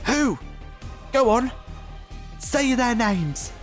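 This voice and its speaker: A fiery, loyal young companion voiced by an audiobook reader, pitched well up from the narration. The delivery is excitable and protective, over music. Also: very slow. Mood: angry, demanding. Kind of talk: dramatic audiobook character voice.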